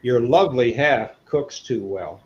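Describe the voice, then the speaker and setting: A man's voice: mid-range voice, lively intonation, measured pace, muffled recording, crowd noise. An older man chatting casually and reminiscing in a plain-spoken way. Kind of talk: casual chat.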